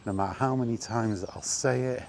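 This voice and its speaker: A middle-aged man in a casual, rambling vlog chat, speaking conversationally with halting pauses while out walking. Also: medium pitch, gravelly, a little mellow. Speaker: gaming vlogger. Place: outdoors, walking. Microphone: microphone with wind guard. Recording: windy outdoor recording, background noise.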